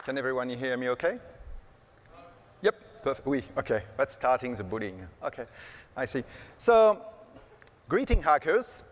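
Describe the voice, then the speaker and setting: A man's voice: medium pitch, very animated, moderate pace, long pauses, a little hiss or hum. A man giving a casual, joking conference keynote on stage, full of fillers like "like" and "uh". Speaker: keynote speaker. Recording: long hall reverb.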